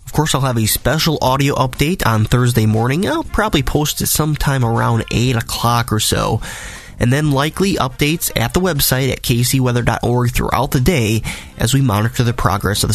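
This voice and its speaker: A man hosting a weather podcast as a meteorologist, his voice raspy from a cold, talking through the forecast in a conversational, detailed way. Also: mid-range voice.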